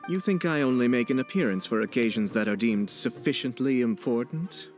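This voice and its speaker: An actor playing a poised young nobleman in a video game, speaking in a formal, measured, politely wry way. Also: mid-range voice, animated, lightly acted. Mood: composed, wry. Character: poised young nobleman, courteous, composed and subtly teasing. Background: background music.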